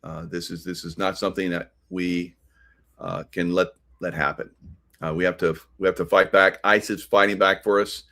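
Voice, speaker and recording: An American man hosting an unscripted political commentary, speaking in a halting, rambling way. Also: low-pitched, little pitch movement, a bit bright. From United States. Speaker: host of a political commentary show.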